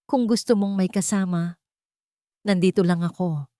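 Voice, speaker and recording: Woman reading as a gentle, steadfast man. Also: on the low side, normal speed, no echo, clean studio sound, natural voice. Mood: reassuring. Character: gentle, supportive man, steadfast romantic partner.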